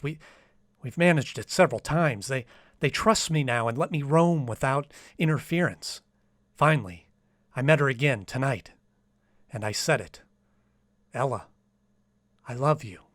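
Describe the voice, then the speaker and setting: A man's voice: medium pitch, a bit bright, no room sound, lightly acted. Guy doing a jittery lovesick poet for radio drama, stammers a lot, super earnest, very up and down, dry sound. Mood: pleading, lovestruck. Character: anxious lovestruck poet hiding in a department store. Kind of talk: radio drama acting with journal narration.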